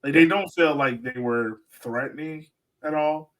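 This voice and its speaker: A man's voice: a little high, unhurried, a bit bright, poor audio. A man hosting a soccer podcast, chatting casually about the game and putting questions to the others. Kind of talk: casual sports discussion.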